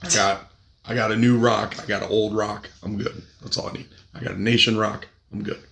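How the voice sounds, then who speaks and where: Raspy man with a cold hosting. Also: lowish voice, level tone, fairly quick, fairly bright tone, a little hiss or hum. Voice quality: gravelly. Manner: crude, joking, upbeat. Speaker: podcast host. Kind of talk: casual podcast chat.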